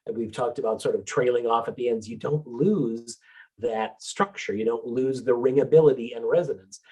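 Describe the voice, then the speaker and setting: A man with a husky voice gives a relaxed, explanatory talk on singing technique. He speaks in a middle pitch and fills pauses with small hesitations. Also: low-quality recording. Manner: explanatory, relaxed with filler words. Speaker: vocal coach presenter.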